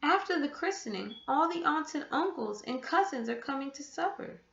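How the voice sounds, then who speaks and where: Woman playing small storybook boy, polite, earnest, plucky, lively pitch, echoey room. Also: normal speed, creaky, exaggerated voice. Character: young boy, polite, earnest, trying to be brave. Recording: echoey room, dull muffled sound.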